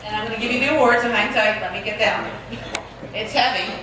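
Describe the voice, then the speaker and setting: A woman's voice: on the high side, brisk. A woman chatting casually as she presents an award in a banquet room. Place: large banquet room with audience. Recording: echoey noisy banquet room, far off.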